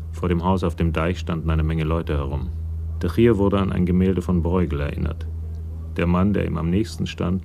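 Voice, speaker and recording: A deep-voiced man narrates a German radio crime drama from a script. His voice has a gravelly creak. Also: a little mellow, slight background noise.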